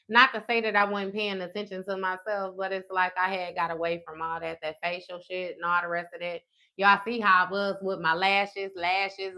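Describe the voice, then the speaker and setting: A woman's voice: mid-range voice, sharp tone. A woman in her thirties chatting live with her viewers as a tarot host, blunt and profane. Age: 30s. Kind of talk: casual livestream chat with viewers.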